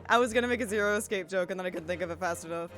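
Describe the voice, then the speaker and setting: Brief: young woman streamer, mid-pitch, casual, game music underneath. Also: quick pace. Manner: giggly, swearing, reads dialogue in a lower, quieter voice. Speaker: streamer reading game dialogue. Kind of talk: casual let's-play chat and text reading.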